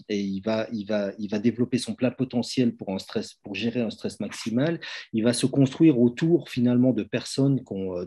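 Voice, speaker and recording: A man talking in casual conversation, thinking aloud with many pauses and restarts. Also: medium pitch, dark tone. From Belgium.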